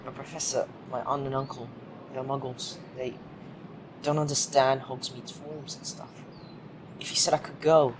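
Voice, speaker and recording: An earnest teenage schoolboy, acted by a man, with a middling pitch. He is hesitant and a little downcast, as in a fan audio drama. There is faint noise and rumble on the recording. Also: flat delivery, moderate pace, lightly acted. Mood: nervous, pleading. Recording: noisy home mic with low rumble.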